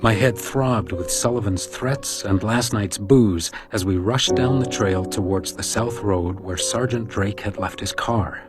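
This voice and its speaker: An actor playing a hard-drinking, desperate detective in a radio crime drama, bitter and frantic. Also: male voice, lowish voice, measured pace, few pauses, loud music behind the voice, lightly acted. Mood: grim narration. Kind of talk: radio crime drama acting with first-person narration.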